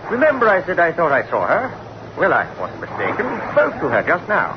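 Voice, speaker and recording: Breezy upper-class chum, chatty, swooping delivery, 1960s radio suspense, muffled noisy recording. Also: male voice, on the high side, wildly swooping pitch, a little fast. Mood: breezy, curious. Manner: chatty, old-boy politeness. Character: chummy, breezy upper-class shipboard acquaintance. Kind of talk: 1960s radio horror acting.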